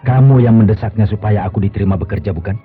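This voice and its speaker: An actor playing a hot-tempered, bitter husband with wounded pride in an Indonesian radio drama. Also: male voice, fairly low pitch, quick pace, breathy edge. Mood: suspicious. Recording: tinny old radio drama, music behind.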